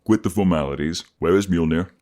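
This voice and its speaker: A male actor voices a gruff warrior god in a fantasy audio drama. His pitch sits deep, and he speaks bluntly, with the wounded pride of a hot-headed fighter. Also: brisk, warm tone, natural voice. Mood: angry, indignant. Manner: blunt, indignant. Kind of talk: audio roleplay acting.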